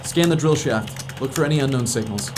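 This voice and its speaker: A man's voice: medium pitch, fairly quick, noisy background, close to an everyday voice. A man playing a sweary, stressed astronaut in command of a mission, in a naturalistic sci-fi audio drama. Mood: businesslike. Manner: blunt, urgent, sweary. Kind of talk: naturalistic science fiction audio drama acting.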